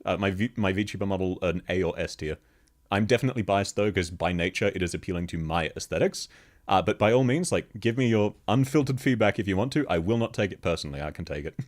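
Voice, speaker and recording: A man with a very deep bass voice, chatting casually with viewers on a live stream and laughing often. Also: darkish tone. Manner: laughs constantly, chatty, answers chat questions. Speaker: VTuber streamer. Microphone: streaming microphone, level shifts as he moves.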